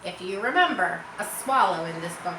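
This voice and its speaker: A woman's voice: fairly low pitch, lively intonation, a little fast, echoey room, noisy background. A woman reads a children's story aloud expressively, adding casual asides to listeners as she narrates. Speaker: storybook reader.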